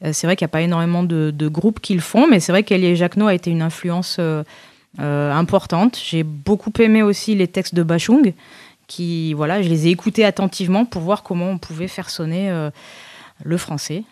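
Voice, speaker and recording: A woman who is a band's singer and lyricist, soft-spoken in a casual interview. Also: fairly low pitch, a little fast, no echo. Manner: soft-spoken, laughs easily.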